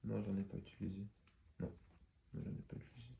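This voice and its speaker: A man's voice: on the low side, steady tone, fast talker, creaky, lo-fi sound. A man with a stuffy head cold, casually explaining his moves in a card game match with many fillers. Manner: chatty, explains each move with many fillers. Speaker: card game player and channel host.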